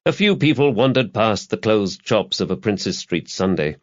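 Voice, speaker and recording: A man's voice: on the low side, sing-song, moderate pace, muffled recording. A man reading a crime novel aloud as an audiobook narrator, in a scripted literary style.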